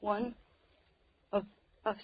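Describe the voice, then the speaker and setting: A woman's voice: medium pitch, little pitch movement, drawn-out words, slightly rough. An actress playing a brash, slangy young woman held captive, frightened but defiant, in an old-time radio drama. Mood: alarmed. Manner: demanding, slangy. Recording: muffled vintage radio recording.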